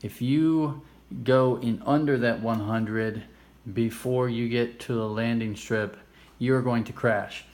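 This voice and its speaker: A man's voice: medium pitch, fairly even intonation, takes it slowly, a little mellow. A man hosting a casual hands-on game demonstration, explaining things informally with a self-amused, nerdy enthusiasm. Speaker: host of a retro game play-through video. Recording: clearly noisy amateur recording.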